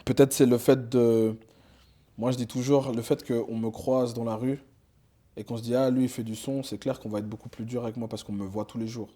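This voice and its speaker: A man's voice: medium pitch, little pitch movement, brisk, dark tone. A young man, a rapper talking casually in an interview, loose and slangy with lots of fillers and restarts. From Switzerland. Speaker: rapper guest.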